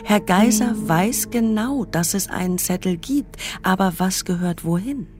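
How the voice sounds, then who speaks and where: A woman playing a pensive narrator in a literary radio play, telling the story in a deliberate, measured way. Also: medium pitch, close to an everyday voice. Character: pensive female narrator observing a forgetful man. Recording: music under the voice. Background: music.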